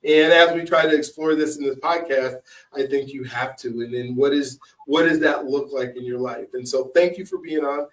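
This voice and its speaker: A man hosting a podcast in a casual interview style, with halting, repetitive, drawn-out phrasing, recorded at some distance from the mic. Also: medium pitch, fairly even intonation. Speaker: podcast host.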